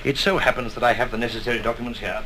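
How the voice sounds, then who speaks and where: An actor playing a courteous, commanding colonel in a 1960s radio drama, speaking in brisk, formal briefings. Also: male voice, medium pitch, steady tone, pushed and bright, natural voice. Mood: brisk, businesslike. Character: genteel, commanding older intelligence colonel, male, dryly courteous. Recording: faintly noisy 1960s flexidisc radio recording.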